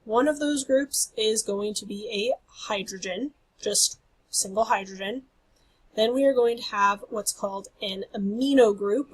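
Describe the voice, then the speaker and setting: A woman's voice: mid-range voice, rough recording. A woman instructor losing her voice, giving a recorded lecture over slides in an explanatory way. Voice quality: husky. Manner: explanatory, apologetic about her voice.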